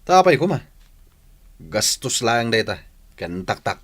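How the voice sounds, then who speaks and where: A man's voice: on the low side, very animated, measured pace, a bit bright, full character voice. A man with an Ilocano accent playing a jealous, stingy, grumbling village husband in a radio comedy drama. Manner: scolding, suspicious.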